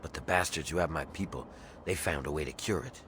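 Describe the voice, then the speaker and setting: A low-pitched, gruff game character, an embittered slave plotting revolt. He talks in a conspiratorial, matter-of-fact way, with clear ambient noise behind him. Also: a little fast. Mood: grim, determined. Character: grim embittered rebel slave man scheming for freedom. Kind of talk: video game character dialogue.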